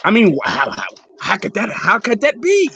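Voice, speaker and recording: A man's voice: high-pitched, huge pitch swings, moderate pace, vocal fry, fairly bright tone, lo-fi sound, slight background noise. A man hosting a livestream, arguing heatedly and repeating himself, sometimes swearing. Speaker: livestream host. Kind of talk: heated casual debate.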